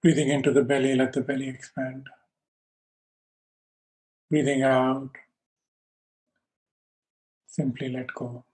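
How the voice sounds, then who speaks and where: A man teaching yoga and guiding a meditation in slow, calm, measured short phrases with pauses between them. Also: medium pitch, poor audio. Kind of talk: slow guided meditation instruction.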